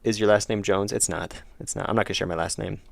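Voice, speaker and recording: A man's voice: on the low side, steady tone, quick pace, creaky, no echo. A young man streaming his indie game development, chatting casually with viewers and rambling through self-corrections while losing his voice and feeling slightly ill. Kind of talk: casual livestream chat answering chat.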